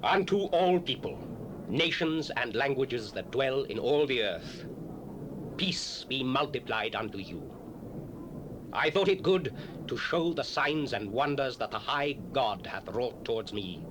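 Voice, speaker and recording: A man's voice: mid-range voice, animated, a little slow, close to an everyday voice. An actor playing a proud, commanding Babylonian king in a dramatized scripture, with stately, emphatic delivery. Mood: stately proclamation. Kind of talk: dramatized scripture, declamatory acting. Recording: noisy 1970s cassette dramatization.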